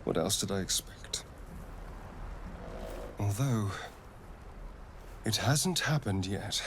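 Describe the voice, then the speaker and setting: Game casting: sardonic, evasive English vampire gentleman; theatrical, widely swooping, unhurried speech. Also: on the low side, expressive, noisy background. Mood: wry, weighing options. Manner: arch, drawling, theatrical delivery. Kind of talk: video game character acting.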